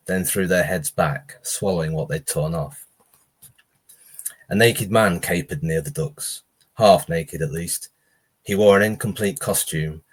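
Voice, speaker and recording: Deep, husky-voiced man reading horror fiction. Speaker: author reading own short story.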